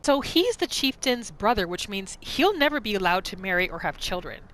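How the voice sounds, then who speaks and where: Female gaming host: bright voice, musing aloud in role-play commentary, very varied intonation. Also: medium pitch, normal speed, a little hiss or hum, rough recording. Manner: musing aloud, expressive, questioning. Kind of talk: role-play game commentary, narrating her character's thoughts.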